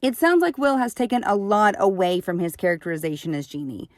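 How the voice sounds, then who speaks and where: A woman's voice: medium pitch, big pitch swings, a little fast. A woman hosting and reading scripted narration in an upbeat presenting tone. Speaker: host.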